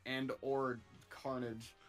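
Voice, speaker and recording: A man's voice: mid-range voice, little pitch movement, slow, music in the background. A man bantering casually with friends over a video game in a teasing, mock-exasperated way. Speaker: player in an online gaming group.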